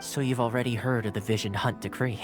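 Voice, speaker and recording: An actor playing a courteous, playful yet earnest young retainer in a video game, speaking in polite, formal phrases. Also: male voice, mid-range voice, fairly even intonation, fast, close to an everyday voice. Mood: solemn, explanatory. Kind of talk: scripted game cutscene dialogue. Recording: music behind the dialogue. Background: game music.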